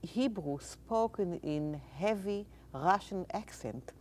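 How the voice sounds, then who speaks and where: A woman from Israel, a theater teacher, speaking as a guest in an interview, measured and sometimes searching for words. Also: mid-range voice, lively intonation, a little mellow, a little hiss or hum. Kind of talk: interview conversation.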